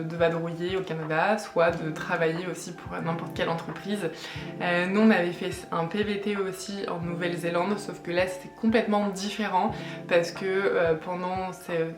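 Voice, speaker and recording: A woman's voice: lowish voice, roomy sound. A woman in her late twenties from France, chatting casually to camera with lots of hesitations and fillers. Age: 20s. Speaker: vlogger. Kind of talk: casual vlog chat.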